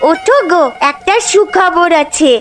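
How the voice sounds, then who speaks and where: A woman's voice: very high voice, a little slow. A woman voicing a sweet, eager little village girl in a cartoon, calling out in a childlike singsong, with music behind her. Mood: excited. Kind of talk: cartoon voice acting.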